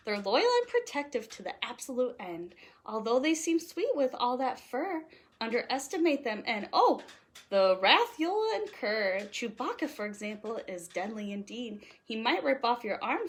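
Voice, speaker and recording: A woman reading a children's picture book aloud in an animated, playful way, leaning on the rhymes. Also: fairly high pitch. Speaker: storytime host reading aloud.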